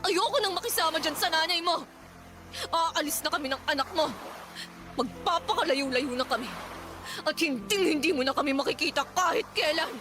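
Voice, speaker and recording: A woman's voice: high voice, normal speed, music in the background, close to an everyday voice. An actress playing a fed-up, wronged young wife and mother, fiery and defiant, in a Tagalog radio drama. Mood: bitter, resolute. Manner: emotional, confrontational delivery.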